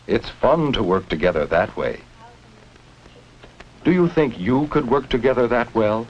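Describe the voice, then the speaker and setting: A man's voice: medium pitch, big pitch swings, measured pace, lo-fi sound. A man narrating a 1950s educational film for children, speaking in simple instructive phrases and leading questions. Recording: noisy, band-limited 1950s film soundtrack.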